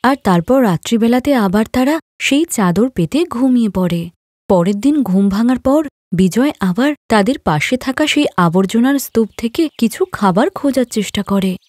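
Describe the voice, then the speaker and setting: High-voiced woman storyteller, dry recording. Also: animated, normal speed, a little mellow, no echo. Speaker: storyteller, narrator. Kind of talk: scripted narration of a moral story.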